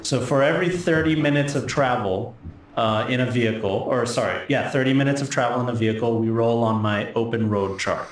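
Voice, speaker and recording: A man's voice: medium pitch, brisk. Man game master in a very echoey room. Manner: conversational, explanatory. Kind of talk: casual tabletop game narration. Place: indoor room with echo. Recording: echoey room, faint background noise.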